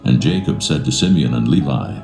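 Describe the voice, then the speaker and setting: A man narrating scripture in a dramatised reading, solemn and measured. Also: a bass voice, animated, creaky. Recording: roomy echo, soft music behind.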